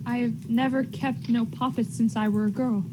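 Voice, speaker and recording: A dutiful, plain-spoken Puritan wife played by a woman in a radio drama. Her voice is on the high side and her speech is old-fashioned. There is clear noise behind her. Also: fairly even intonation, normal speed, lightly acted. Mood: puzzled. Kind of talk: radio play acting.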